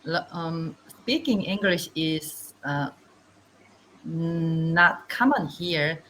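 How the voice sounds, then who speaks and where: Korean woman in her 30s practicing english, super slow, lots of ums, lower voice, video call. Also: lively intonation, fairly bright tone, slight background noise, low-quality recording. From South Korea. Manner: hesitant, halting with many ums. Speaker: English learner. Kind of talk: casual online lesson chat.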